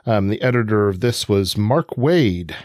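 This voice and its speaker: Man, podcast co-host, fairly deep voice, halting explanations, conversational, dry sound. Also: lively intonation, darkish tone, no room sound. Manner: halting, explanatory, pieces sentences together as he goes.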